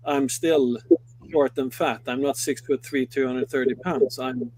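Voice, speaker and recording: A middle-aged man from Sweden with a Swedish accent, a company head recounting his life in a live interview, halting and full of fillers and restarts. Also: medium pitch, steady tone, darkish tone, slight background noise, poor audio. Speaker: guest, company CEO. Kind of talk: live interview, personal story.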